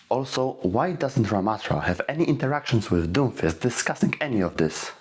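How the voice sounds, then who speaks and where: A man's voice: mid-range voice, expressive, moderate pace, husky. A man hosting a video, reading scripted narration about game lore, with some wry delivery.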